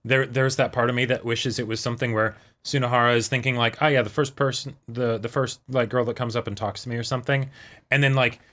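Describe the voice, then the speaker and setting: A man's voice: medium pitch, fairly even intonation, fast talker, a bit bright. A man co-hosting a reaction show, chatting casually and thinking aloud in loose, rambling sentences. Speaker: co-host of an anime reaction show. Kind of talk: casual chat, discussion.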